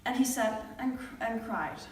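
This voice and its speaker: A woman's voice: a little high, animated, unhurried. A woman casually improvising a joking story as a storyteller. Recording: very echoey room from far off, faint noise.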